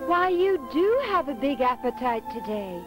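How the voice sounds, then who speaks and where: A woman voicing a gentle, affectionate, doting mother of a samurai boy in an animated film. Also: high voice, moderate pace, over music. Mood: amused. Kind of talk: animated film dub acting.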